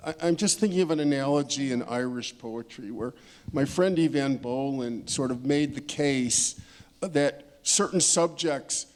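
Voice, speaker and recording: A man's voice: on the high side, darkish tone. A man talking as a panelist in an informal discussion, discursive and anecdotal, rephrasing as he goes.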